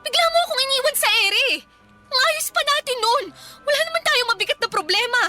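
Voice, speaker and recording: A woman's voice: very high-pitched, lively intonation, brisk, sharp tone, heavily acted. An actress playing a clingy, astrology-obsessed young jilted girlfriend in a Filipino radio drama, pleading and insistent. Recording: faint music behind the voice.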